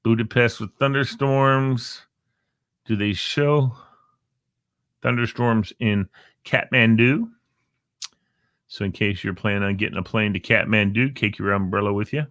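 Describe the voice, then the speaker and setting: A man's voice: fairly low pitch, a little slow, long pauses, fairly bright tone, no echo, clean studio sound. A man hosting a casual show, rambling playfully with jokey asides about times and weather. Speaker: show host. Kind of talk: casual live show chat. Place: Northern Virginia.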